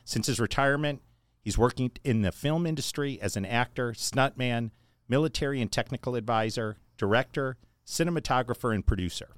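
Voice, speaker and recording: A man hosting a podcast, reading out a guest's introduction in a measured, list-like way, then chatting. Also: medium pitch, no echo. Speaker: podcast host.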